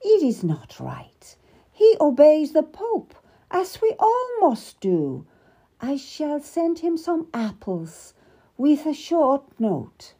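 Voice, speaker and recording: A woman voicing a dignified, wronged middle-aged queen, speaking slowly and formally in a raised, strained tone. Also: high voice, huge pitch swings, warm tone, voice pushed up, exaggerated voice. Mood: pitying. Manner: slow, measured and formal. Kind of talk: audiobook character reading.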